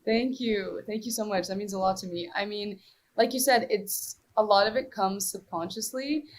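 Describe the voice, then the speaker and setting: A woman's voice: mid-range voice, normal speed. A young woman from Morocco who is a singer-songwriter, answering interview questions casually with many fillers and restarts.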